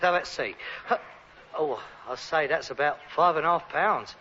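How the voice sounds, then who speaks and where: A man's voice: a little high, normal speed, pushed and bright, slightly rough, dull audio. An actor playing an emotional, opportunistic rag-and-bone man, the put-upon son, with a London accent in a British sitcom. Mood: earnest, grateful.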